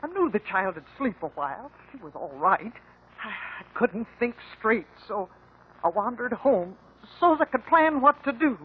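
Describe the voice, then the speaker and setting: An actress playing a rural American older mother confessing in halting, folksy speech in a radio drama. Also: fairly high pitch, sing-song, husky. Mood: distressed confession. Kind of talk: 1950s radio drama acting. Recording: muffled 1950 radio recording.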